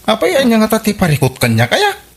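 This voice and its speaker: A man's voice: sits high, huge pitch swings, fast talker, exaggerated voice. A man with an Ilocano accent playing a boastful, lovesick young suitor in a radio comedy drama. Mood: boastful, puzzled.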